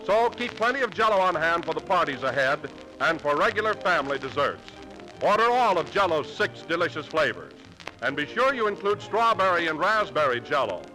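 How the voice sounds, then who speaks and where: Old radio announcer guy, enthusiastic sales read, bright punchy voice, very lively pitch. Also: brisk, faint music behind, rough recording. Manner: polished, enthusiastic sales delivery. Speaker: commercial announcer. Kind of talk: scripted 1940s radio advertisement.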